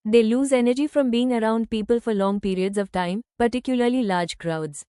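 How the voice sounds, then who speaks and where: Smooth, mellow woman reading aloud. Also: fairly high pitch, fairly quick, no echo, studio quality. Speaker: reader of practice passages. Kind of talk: scripted read-aloud narration.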